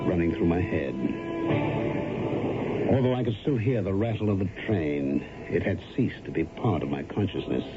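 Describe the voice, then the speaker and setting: Actor as brooding low-voiced commuter in vintage radio drama. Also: male voice, moderate pace, lightly acted. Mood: dreamy, hushed. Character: tense, weary married male commuter absorbed in meditation. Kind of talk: 1970s radio drama acting with first-person narration. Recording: dull vintage radio recording with loud music.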